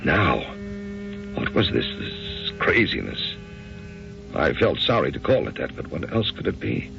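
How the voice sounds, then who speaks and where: An actor playing a bewildered, conventional middle-aged American husband in a radio drama, with a gravelly, hesitant delivery. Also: male voice, extremely low pitch, sing-song, over music, exaggerated voice. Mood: troubled narration. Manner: hesitant, halting. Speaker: actor and first-person narrator. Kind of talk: old-time radio drama acting. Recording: dull, muffled radio drama recording.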